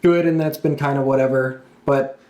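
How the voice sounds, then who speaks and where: A man's voice: medium pitch, fairly even intonation, normal speed, a little mellow. A man hosting a casual wrestling talk show, speaking as an enthusiastic fan. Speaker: podcast host. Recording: echoey room.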